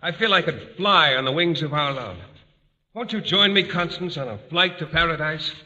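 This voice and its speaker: An actor playing an ardent dream lover, wooing in florid, over-earnest style in an old radio sitcom. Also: male voice, on the high side, moderate pace, bright, forceful tone, highs cut off. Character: ardent, gushing dream lover, romantic gentleman. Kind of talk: 1950s radio sitcom acting. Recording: slightly noisy old radio recording.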